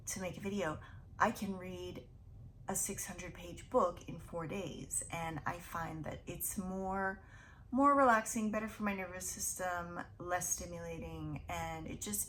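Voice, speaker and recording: A woman's voice: mid-range voice, a little hiss or hum, rough recording. A woman in her thirties hosting a casual book vlog, chatting in a rambling, self-deprecating way. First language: English. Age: 30s. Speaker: YouTube book channel host. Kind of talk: casual to-camera vlog chat.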